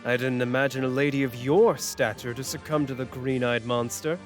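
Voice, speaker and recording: A man playing a smug, suave, courtly gentleman in game-character style. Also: medium pitch, steady tone, fairly quick, soft background music, lightly acted. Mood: smug, teasing.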